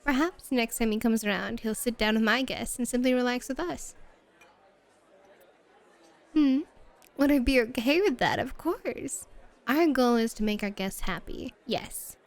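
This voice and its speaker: A woman's voice: a little high, lightly acted. A woman playing a flirty, dominant and playful hostess at a bunny club in an ASMR roleplay, teasing and drawing out her words coquettishly. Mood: flirty, fond. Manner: teasing, coquettish, drawn-out purring.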